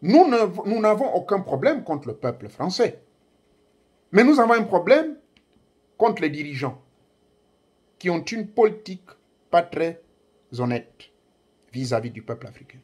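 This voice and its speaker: A man's voice: high-pitched, sing-song, a little slow, long pauses, a little mellow, breathy edge. A man whose first language is Ngomienne, speaking French in an emphatic, declamatory political address to young listeners. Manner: emphatic, declamatory, rising bursts on key words. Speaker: commentator addressing African youth. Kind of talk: impassioned political address to camera.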